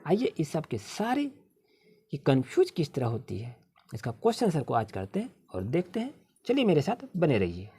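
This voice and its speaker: Husky woman teacher with very animated pitch. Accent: Indian. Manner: reads English sentences slowly, word by word, then explains them. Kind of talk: school lesson explanation for children.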